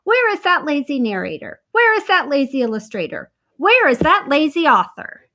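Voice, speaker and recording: A woman's voice: sits high, very animated, moderate pace, pushed and bright, pitched above the performer's own voice, heavily acted. A woman voicing a fussy, indignant little red hen in a storybook, complaining shrilly.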